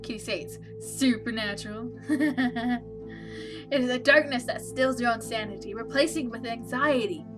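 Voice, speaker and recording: A woman's voice: on the high side, moderate pace, over music. A woman hosting a let's play, reading a visual novel aloud and adding wry, opinionated asides.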